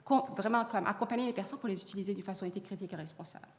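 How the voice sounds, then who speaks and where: A woman's voice: medium pitch, rapid-fire. A woman whose first language is Arabic, speaking as a panelist in a public discussion in an earnest way, often restarting her sentences. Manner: earnest, many restarts and fillers. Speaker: panelist on AI in education. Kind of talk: panel discussion answering audience. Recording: long hall reverb.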